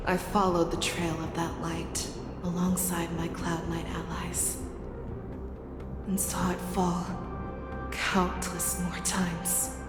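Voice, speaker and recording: A woman playing a haunted, resolute female warrior in a video game, speaking in a grave, measured way. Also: lowish voice, completely flat delivery. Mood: sorrowful, solemn. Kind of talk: dramatic game voice acting. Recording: echoey, under loud music. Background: loud dramatic music.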